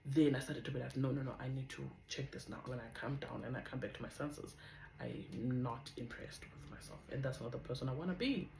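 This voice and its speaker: A young woman with a South African accent and a deep voice, chatting in a rambling, casual way as she shares a personal testimony on her vlog. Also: fairly quick, gravelly, echoey room, noisy background. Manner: chatty, rambling, drifts off topic. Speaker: vlogger.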